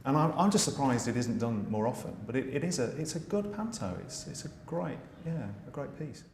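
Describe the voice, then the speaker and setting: A middle-aged British man who writes, directs and plays the dame in pantomime, talking in a relaxed interview with many restarts and fillers. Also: medium pitch, a little fast, creaky. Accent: British English. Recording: echoey room with faint noise.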